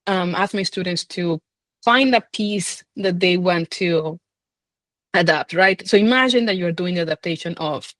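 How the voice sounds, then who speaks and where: A woman's voice: fairly low pitch, normal speed, a bit bright, no echo. A woman speaking as a scholar being interviewed, thinking aloud in an informal, hesitant, conversational way. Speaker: film scholar and guest.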